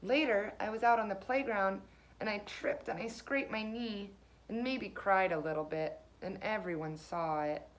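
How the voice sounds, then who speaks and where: A woman's voice: mid-range voice, moderate pace, poor audio. A woman reading a children's picture book aloud as a teacher would, expressively and with dramatic emphasis.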